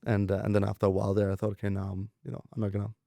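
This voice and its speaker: A young man telling his story in a relaxed, rambling way, full of fillers and restarts. His voice is low and gravelly, with a dark, mellow tone. His delivery stays flat and even, and the sound is dry and close. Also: rapid-fire, no room sound. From Denmark, raised in southern France. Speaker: guest, venture capitalist. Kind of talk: casual interview storytelling.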